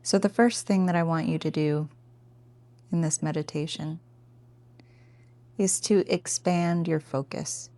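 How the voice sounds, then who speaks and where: A woman teaching a guided meditation in a gentle, informal, encouraging way. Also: sits low, steady tone, a little slow, long pauses, no echo.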